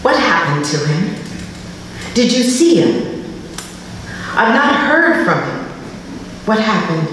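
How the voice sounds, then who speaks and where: A woman giving a live spoken-word poetry reading, with dramatic, deliberate delivery and informal asides. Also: medium pitch, sing-song, fairly bright tone. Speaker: poet reading at a literary festival. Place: live public reading in a reverberant room. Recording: echoey hall, distant mic, faint noise.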